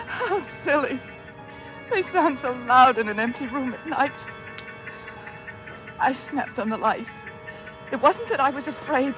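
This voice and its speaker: Grieving widow for vintage radio thriller: breathless, anxious narration and dialogue, music underneath. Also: female voice, high voice, lo-fi sound. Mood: rising panic. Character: grieving, lovesick, anxious newly widowed woman. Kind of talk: old-time radio drama acting with first-person narration. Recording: old radio recording with music. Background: dramatic music.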